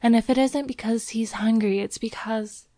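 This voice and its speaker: A woman's voice: on the high side, animated, unhurried, no echo, pitched above the performer's own voice. A woman voicing a tender, anxious young woman in an audiobook story, nervous and pleading. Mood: frightened. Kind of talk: audiobook character dialogue.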